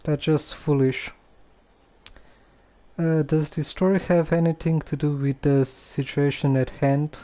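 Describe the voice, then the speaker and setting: Slow, mellow-voiced game streamer. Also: medium pitch, steady tone. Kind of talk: casual live gameplay commentary.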